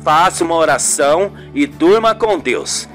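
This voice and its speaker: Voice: medium pitch, animated, unhurried, a bit bright, soft background music. A performer voicing a tender, devout boyfriend in an amateur radio soap, reassuring in solemn, florid phrases. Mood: tender, earnest.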